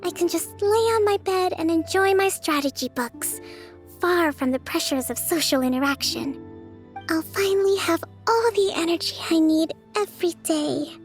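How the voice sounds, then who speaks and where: A woman voicing a gentle, introverted young priestess and strategist in a video game, soft-spoken, formal and thoughtful. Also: very high-pitched, animated, moderate pace, faint music behind. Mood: serene, content. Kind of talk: video game character voice acting.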